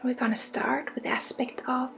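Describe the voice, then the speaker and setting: A Swedish woman with a Swedish accent leading an ASMR guided energy healing, speaking softly and often slipping into whispers. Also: sits high, flat delivery, moderate pace, gravelly, faint music behind. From Sweden. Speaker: spiritual healer and ASMR host.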